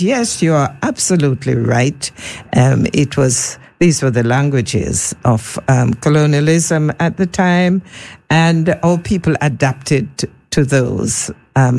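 A radio host, addressing listeners in an earnest, uplifting and deliberate manner. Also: mid-range voice, darkish tone.

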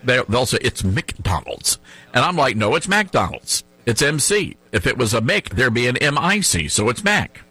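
A man with a mild Tennessee twang hosting a talk radio show, chatting in an animated, folksy way. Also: mid-range voice, moderate pace, breathy edge, no room sound. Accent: Southern US, Tennessee. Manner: animated, folksy, laughs easily. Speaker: radio host.

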